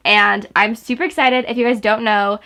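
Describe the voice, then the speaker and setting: A woman's voice: on the high side, fairly even intonation, normal speed, pushed and bright. A young woman in a casual book haul vlog, speaking from home by her bookshelves, slightly stuffy from a sore throat and gushing with enthusiasm. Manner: gushing, enthusiastic, chatty with fillers. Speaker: book vlogger. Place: home room in front of bookshelves.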